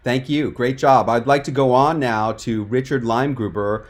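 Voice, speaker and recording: A man hosting a live online coaching webinar, stressing key points by slowing and stretching words. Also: mid-range voice, steady tone. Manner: emphatic, drawn-out for stress. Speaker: webinar host and speaking coach.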